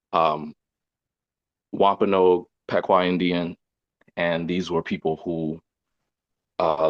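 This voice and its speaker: A man with a very deep voice explains earnestly over a video call. The audio is dull and muffled, and it sounds dry and close, with no room around it. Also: dry recording. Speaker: community discussion participant. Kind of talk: casual discussion on a video call.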